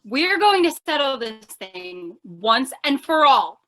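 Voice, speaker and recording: A woman's voice: high-pitched, very animated, slow pace, sharp tone, exaggerated voice, rough recording. A woman playing a feisty, impatient young would-be reporter in an old-time radio comedy, insistent and theatrical. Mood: bossy, exasperated. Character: feisty, pushy young female aspiring reporter, impatient fiancée.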